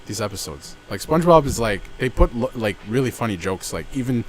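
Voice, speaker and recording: A man's voice: medium pitch, lively intonation, brisk, a little hiss or hum, rough recording. A man in his twenties co-hosting a casual podcast, rambling with many fillers and restarts, recorded outdoors under a backyard gazebo. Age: 20s. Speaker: podcast co-host. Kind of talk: casual chat.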